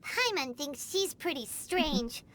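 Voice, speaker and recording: Tiny squeaky childlike fairy companion. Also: female voice, expressive, slow, sharp tone, a little hiss or hum, heavily acted. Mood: blunt, explaining. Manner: talks about self in third person. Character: tiny childlike fairy companion, chirpy, blunt, easily flustered. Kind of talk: video game voice acting. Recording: game music behind.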